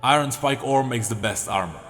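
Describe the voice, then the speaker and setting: A man voicing a hulking, blunt warlord with a rough, growling, common-sounding voice. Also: medium pitch, brisk, a bit bright, big-room sound, faint music behind, pitched below the performer's own voice, natural voice. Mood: gruff contempt. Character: hulking brutish male warlord, blunt and gruff.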